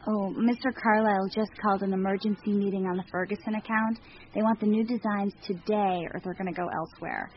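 An actress playing a hesitant, polite office secretary in a radio drama. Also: female voice, mid-range voice, fairly even intonation, brisk, natural voice. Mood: concerned. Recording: dull lo-fi recording, faint noise.

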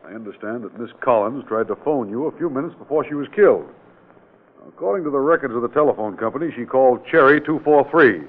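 An actor playing a direct, persistent state auditor in a 1940s radio crime drama, matter-of-fact and probing. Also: male voice, mid-range voice, lively intonation, quick pace, lightly acted. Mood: firm, probing. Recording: tinny, band-limited old radio broadcast.

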